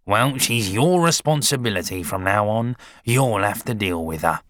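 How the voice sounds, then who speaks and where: A rude storybook dad, played by a male narrator, brusque and grumbling. His voice is bright and forceful, swinging widely as he brushes people off. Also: lowish voice, animated, normal speed, dry recording, clean studio sound, voice pushed up. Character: rude, curt, shifty middle-aged father.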